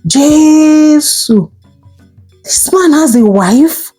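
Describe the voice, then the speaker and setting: A woman's voice: high voice, lively intonation, extremely slow, mellow, soft background music, voice pushed up, exaggerated voice. A woman voicing a shocked, outraged young Nigerian girlfriend in a melodramatic, wailing storytelling performance.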